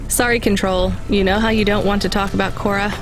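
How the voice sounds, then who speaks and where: An actress playing a young, plain-spoken and guarded delivery driver in a video game. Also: female voice, mid-range voice, little pitch movement, a little fast, lightly acted. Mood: guarded, sympathetic. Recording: music behind the voice.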